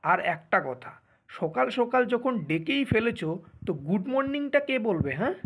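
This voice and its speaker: A man's voice: very high voice, expressive, normal speed, fairly bright tone, slight background noise, full character voice. A man voicing a boastful, lazy husband from a faded landlord family in a Bengali cartoon, with a pompous, bragging manner.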